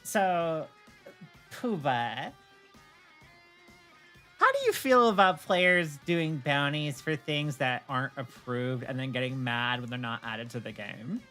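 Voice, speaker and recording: A woman's voice: extremely low pitch, animated, takes it slowly, sharp tone, faint music behind. A woman chatting casually on a livestream, often stammering and repeating words as she explains things. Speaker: streamer and game server admin.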